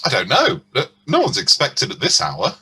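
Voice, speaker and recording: A man's voice: medium pitch, wildly swooping pitch, normal speed, pushed and bright, slightly rough, full character voice. A man playing a kindly, eager young nephew and devoted husband in an amateur radio play. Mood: affectionate. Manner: eager, effusive.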